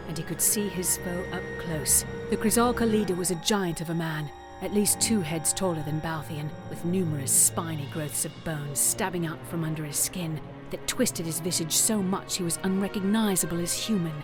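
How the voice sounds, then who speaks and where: Casting: female narrator, lower register, dramatic scripted storytelling over a prominent score. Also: normal speed. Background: dramatic background music.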